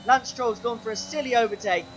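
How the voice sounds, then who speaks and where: High-voiced man with a sore throat. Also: a little fast, low-quality recording. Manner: excitable play-by-play, pitch jumps when overtaking. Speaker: gaming channel host. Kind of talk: racing game commentary.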